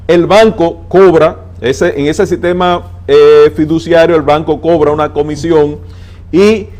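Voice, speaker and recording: A man with a Dominican accent, a transport adviser on a TV interview, laying out plans with numbers and slowing down to stress his points. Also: a little high, fairly even intonation, darkish tone. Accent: Dominican Spanish. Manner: emphatic, explains with figures, slows down to stress points. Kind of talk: interview on a TV talk show.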